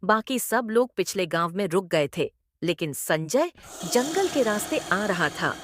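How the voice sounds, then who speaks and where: A woman's voice: mid-range voice, very animated, unhurried, background noise. A woman narrating a scripted horror story as storyteller.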